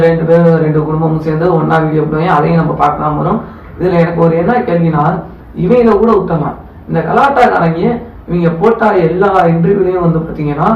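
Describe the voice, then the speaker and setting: A man delivers casual, critical commentary at a quick clip. His voice is gravelly and fairly high. The recording is distant and echoey. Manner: colloquial, conversational, critical. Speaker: commentary video host. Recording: distant, echoey, dull with faint noise.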